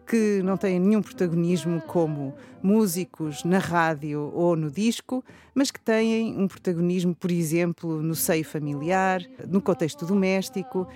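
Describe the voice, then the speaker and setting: A woman's voice: lowish voice, unhurried, hardly any pauses, warm tone, soft background music. A woman researcher explaining a project on the radio, thoughtful and a little hesitant. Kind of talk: reflective radio talk.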